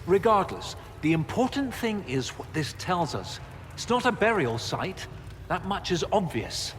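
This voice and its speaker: An actor playing an absent-minded, excitable male professor of history in a video game, halting and muttering as he thinks aloud. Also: high voice. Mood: urgent, puzzled. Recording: reverberant game hall, noisy ambience.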